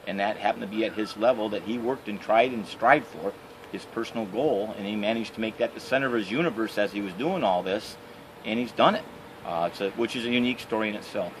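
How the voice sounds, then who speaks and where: A middle-aged man with a Michigan accent, answering interview questions outdoors in a halting way with many fillers. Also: on the low side, noisy background. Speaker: interviewee, canoe racer. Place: outdoors by trees and a house.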